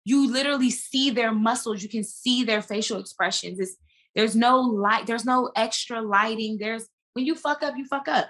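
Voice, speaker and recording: A woman talking casually as a podcast guest, an actress who explains things in an animated, emphatic, slangy way. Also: on the high side, normal speed. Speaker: guest, stage and screen actress.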